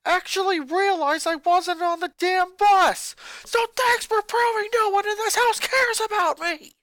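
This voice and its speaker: A woman voicing an angry, resentful young boy who rants and shouts at his family. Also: very high voice, a little slow, dry recording, voice pushed up. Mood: bitter. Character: furious boy, eleven-year-old, bitter and resentful.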